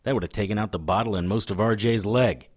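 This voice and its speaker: Casting: male actor as a gruff, very low-voiced tough guy, terse and sardonic, narrating noir-style audio drama. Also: fast talker, natural voice. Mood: wry, hard-boiled. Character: gruff, hard-drinking, wry male brawler narrating his own story. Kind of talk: hard-boiled first-person audio drama narration.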